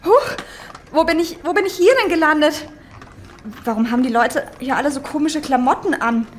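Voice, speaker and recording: A woman playing a curious, excitable young time traveler in a scripted audio drama. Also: high voice, moderate pace, a little hiss or hum, lightly acted. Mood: amazed, nervous.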